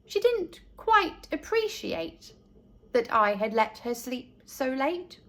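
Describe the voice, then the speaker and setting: A woman's voice: high voice, big pitch swings, takes it slowly, fairly bright tone, full character voice. A woman voicing a cheeky, self-assured three-year-old girl who narrates a rhyming storybook in an innocent sing-song manner. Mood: cheerful, smug.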